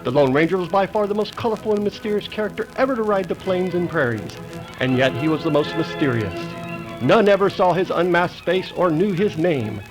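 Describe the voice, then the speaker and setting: A man's voice: high-pitched, expressive, a little fast, low-quality recording. An American man narrating an old-time western radio drama in a dramatic, grandiose manner. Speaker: announcer and narrator. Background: music.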